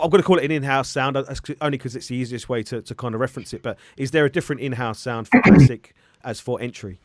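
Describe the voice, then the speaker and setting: Quick-talking man hosting a casual interview. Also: mid-range voice, no echo, clean studio sound. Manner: rambling, self-correcting phrasing. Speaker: interviewer and hi-fi review host. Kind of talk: casual live-stream interview.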